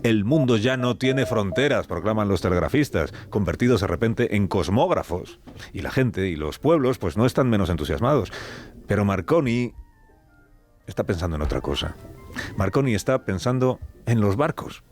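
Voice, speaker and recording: A low male voice with a Spain accent narrates over faint music. He is a radio storyteller, scripted but theatrical, dropping in asides and repeating phrases for effect. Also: lively intonation, normal speed, darkish tone.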